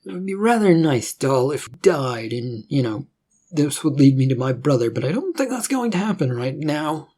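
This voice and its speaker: A man's voice: on the high side, very animated, moderate pace, warm tone, a little hiss or hum. A man voicing a polite, composed and chatty adventuring companion in a narrated story. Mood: wry.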